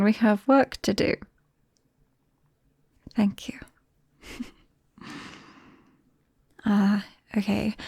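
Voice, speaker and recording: A shy, awkward vampire girl played by a woman with a British accent, in an intimate ASMR roleplay. Her voice is soft and mellow with a creaky edge, often sinking into a whisper. She speaks slowly and hesitantly, gentle and bashful with her best friend. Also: medium pitch, no echo, clean studio sound, exaggerated voice. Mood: nervous.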